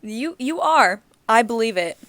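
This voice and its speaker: A woman's voice: a little high, lively intonation, unhurried, fairly bright tone, dry recording. A woman in her twenties co-hosting a casual podcast, chatty and giggly with plenty of "like" fillers and a groggy morning voice. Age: 20s. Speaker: podcast co-host. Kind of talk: casual chat.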